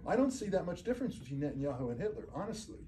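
A man's voice: a little high, very animated, very fast, warm tone, slight background noise. An older man speaking at a lectern without a microphone, answering audience questions in a blunt, emphatic way and often checking with "okay?". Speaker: speaker giving a talk. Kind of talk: lecture and audience Q&A. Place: community meeting room. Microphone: far camera, no microphone.

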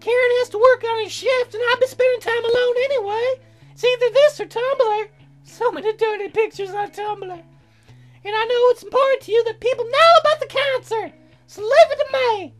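A woman voicing an eager, flustered young heroine in a visual novel, squeaky and halting. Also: soft background music. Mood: earnest, eager.

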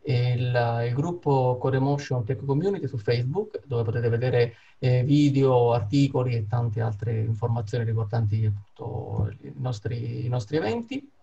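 Male host for online event intro, soft dark tone with vocal fry, informal. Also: medium pitch, moderate pace, few pauses. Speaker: meetup host and moderator. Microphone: video call. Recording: dull video-call audio.

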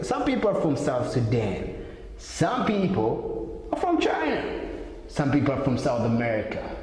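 A man's voice: medium pitch, darkish tone, heavy reverb, long reverb tail, low-quality recording. A young man ranting to the camera in a small room, angry and emphatic, swearing often, into an earphone mic. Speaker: vlogger. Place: small indoor room with maps on wall.

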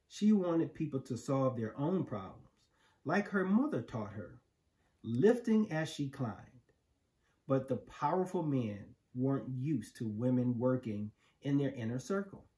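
Storybook reader: American man, middle-aged, slow and emphatic delivery, animated intonation. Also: medium pitch. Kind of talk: read-aloud of a children's picture book. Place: living room, indoors on a couch. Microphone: camera a few feet away.

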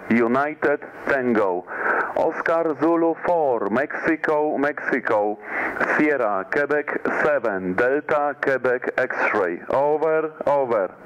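A man working as an amateur radio operator, calling in deliberate, clipped, repeated phrases with phonetic spelling. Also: medium pitch. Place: indoor amateur radio station. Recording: dull, lo-fi, faint radio hiss.

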